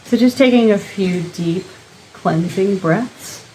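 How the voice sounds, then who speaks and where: A woman leading an informal guided meditation, speaking gently in short, unhurried phrases with pauses between them. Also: mid-range voice, a little hiss or hum, rough recording.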